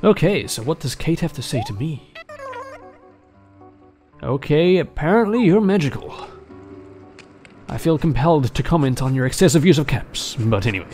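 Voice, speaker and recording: A man's voice: on the high side, lively intonation, moderate pace, pauses often, darkish tone. A man giving casual gameplay commentary as a video narrator, with a dryly joking manner. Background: game music behind the voice.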